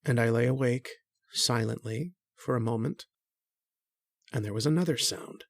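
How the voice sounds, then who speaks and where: An actor playing an earnest, kindly struggling writer who narrates an old-time radio horror drama in a reflective, hesitant way. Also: male voice, mid-range voice, normal speed, creaky, no room sound, clean studio sound. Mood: pensive, hushed.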